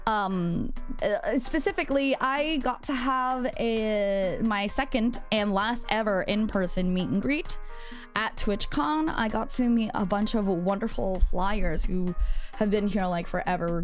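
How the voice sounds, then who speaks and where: A woman streaming live, chatting casually and playfully, swearing freely as she talks to her viewers. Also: mid-range voice. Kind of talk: casual livestream chat.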